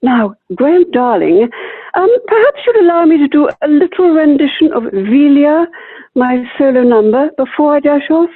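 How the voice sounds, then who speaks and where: Woman playing self-important drama society diva, high-pitched, theatrical, gushing, radio comedy, tinny call audio. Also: lively intonation. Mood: breezy, grand. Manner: theatrical, grandly drawn-out delivery. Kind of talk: comic radio play acting. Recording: tinny narrow-band video call audio.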